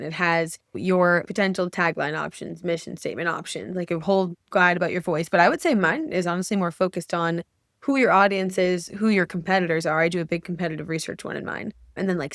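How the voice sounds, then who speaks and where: A woman's voice: on the low side, no echo, studio quality. Frank, swearing woman podcast host. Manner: frank, swears freely, lots of "like". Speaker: podcast host and copywriting coach. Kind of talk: casual candid chat.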